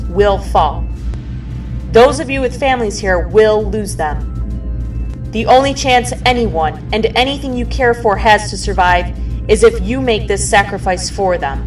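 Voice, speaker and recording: A woman's voice: medium pitch, recorded from a distance, close to an everyday voice. A woman playing a resolute fleet admiral giving orders formally and solemnly in a sci-fi audio drama.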